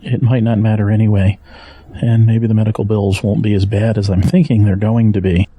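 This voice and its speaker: An actor playing a gentle, worried middle-aged father in an audio drama, speaking in a measured, earnest way with a husky voice. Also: on the low side, level tone, dry recording. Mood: worried, weary.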